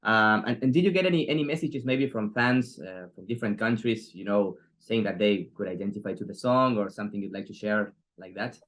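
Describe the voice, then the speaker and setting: A man's voice: fairly low pitch, fairly quick, hardly any pauses, fairly bright tone. A man hosting an interview, asking long, rambling questions with frequent restarts while he searches for the right words. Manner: searching for words, many restarts, loose metaphors. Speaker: interview host.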